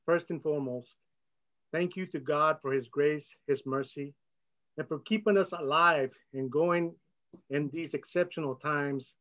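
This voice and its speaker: Dull-sounding recording of a high-voiced man. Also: fairly even intonation, measured pace. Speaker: event host, outgoing poet laureate. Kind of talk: ceremonial introduction and remarks. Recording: dull, lo-fi audio.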